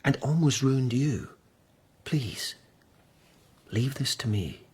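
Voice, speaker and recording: An actor playing an earnest, troubled lieutenant who investigates at an imperial court, sober and guarded in a radio drama. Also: male voice, medium pitch, lively intonation, slow, a little mellow, breathy edge, exaggerated voice.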